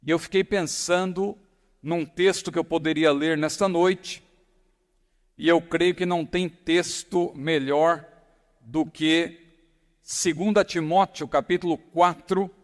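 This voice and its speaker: Middle-aged male pastor, São Paulo accent, high voice, emphatic sermon delivery in a reverberant hall. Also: fairly even intonation. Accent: São Paulo Portuguese. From São Paulo, Brazil. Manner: slow emphatic reading with rising stress. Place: large church hall.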